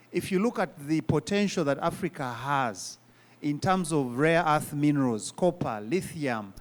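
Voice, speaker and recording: A man makes an earnest case on a conference panel, his voice a little high. He pauses often on fillers as he builds his points. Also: a little mellow. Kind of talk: panel discussion answer.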